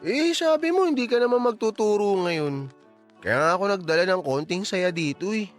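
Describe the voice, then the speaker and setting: High-pitched sweet-talking young boyfriend. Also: male voice, animated, normal speed, soft background music. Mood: cajoling. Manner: wheedling, coaxing, teasing. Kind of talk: Tagalog romance audio drama acting.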